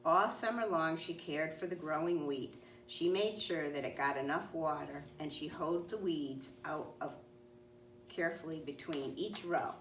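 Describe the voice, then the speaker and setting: Low-pitched woman reading a storybook, roomy sound. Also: unhurried. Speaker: storybook reader. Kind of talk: children's read-aloud narration. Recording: roomy, distant mic, faint noise.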